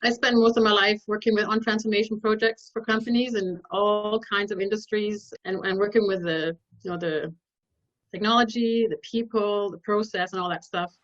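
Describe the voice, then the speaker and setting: A woman from Denmark, a business transformation consultant, answering interview questions over a video call in a relaxed, conversational way. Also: mid-range voice, normal speed, lo-fi sound. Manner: relaxed, conversational, frequent fillers.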